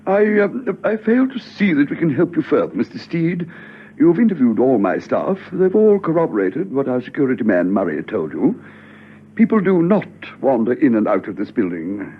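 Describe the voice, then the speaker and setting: An actor in a radio drama playing a fussy, irritated office official who speaks formally, curtly and defensively. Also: male voice, medium pitch, very animated, normal speed, husky. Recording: thin phone-like old radio recording.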